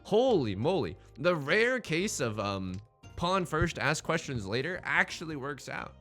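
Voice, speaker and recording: A man's voice: a little high, sing-song, unhurried, fairly bright tone, faint music behind. A man hosting a gaming let's play in casual, bantering commentary, thinking aloud and often repeating his phrases.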